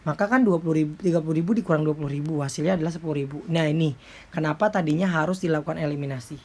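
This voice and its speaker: A woman with a slightly low voice speaks as a lecturer. She explains things informally and step by step, often adding little check-in tags as she goes. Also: very fast, a little mellow, breathy edge. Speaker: accounting lecturer.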